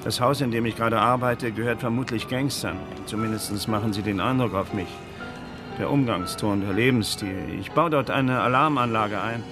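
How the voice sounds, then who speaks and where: A man's voice: lowish voice, moderate pace, music in the background, lightly acted. An actor playing an uneasy working man who explains himself earnestly in a radio drama. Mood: uneasy, explaining. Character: uneasy male actor turned alarm installer.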